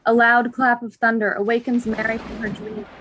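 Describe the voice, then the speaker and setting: A woman's voice: fairly high pitch, flat delivery, normal speed, fairly bright tone, a little hiss or hum. A woman narrator reading stage directions in a scripted narration style.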